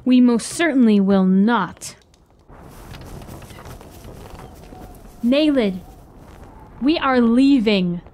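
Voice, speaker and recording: An actress playing an anxious, questioning traveler in a fantasy radio drama, with formal, earnest phrasing. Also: female voice, on the high side, slow pace, mellow. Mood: firm.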